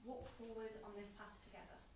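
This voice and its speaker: A woman playing a guilt-ridden, pleading estranged best friend in a naturalistic drama, earnest, halting and emotionally raw. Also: fairly high pitch, flat delivery, close to an everyday voice. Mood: gentle pleading. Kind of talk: naturalistic two-hander drama dialogue. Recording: distant, very echoey room with faint noise.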